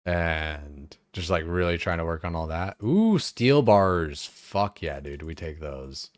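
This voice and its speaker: A man's voice: low voice, animated, takes it slowly, no room sound, clean studio sound. A young man streaming a game, chatting casually in a rambling way, full of fillers and swearing. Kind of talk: casual chat while playing.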